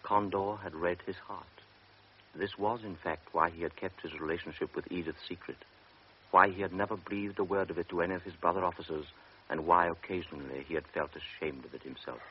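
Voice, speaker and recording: A man narrating a radio drama in a steady, restrained manner. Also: sits low, brisk. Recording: muffled old radio recording with hiss.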